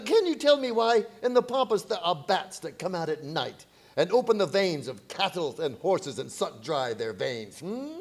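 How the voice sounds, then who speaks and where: An actor playing a grave, zealous foreign professor who hunts vampires in a radio drama, speaking in a halting, dramatic way. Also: male voice, high voice, full character voice. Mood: ominous. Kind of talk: theatrical radio drama acting.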